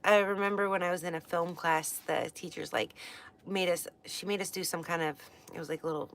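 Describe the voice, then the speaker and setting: Chatty, rambling woman with a lowish voice. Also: fairly even intonation, a little fast, fairly bright tone, slight background noise. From west coast of the United States. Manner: chatty, rambling, many fillers and restarts. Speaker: astrology teacher. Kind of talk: casual livestream book study.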